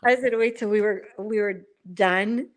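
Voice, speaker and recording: A woman chatting casually as a guest on a live stream panel, giggly and quick to laugh. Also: medium pitch, steady tone. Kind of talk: casual chat.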